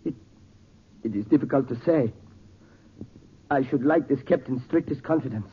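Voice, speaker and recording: An actor playing a grave, formal young foreign heir with stilted phrasing in 1940s radio style. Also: male voice, mid-range voice, moderate pace, natural voice. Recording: tinny noisy 1940s radio recording.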